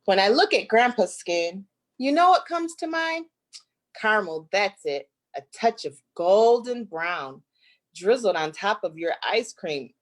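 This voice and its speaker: A woman from Michigan, a guest author, reading a picture book aloud to children in an expressive, drawn-out way. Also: mid-range voice. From Flint, Michigan, United States.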